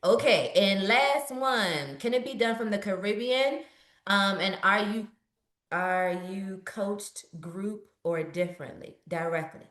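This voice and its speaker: A woman from Richmond, Virginia, a business coach answering questions in a lively, preachy, motivational way. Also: mid-range voice, slow pace, roomy sound. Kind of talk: live webinar Q&A.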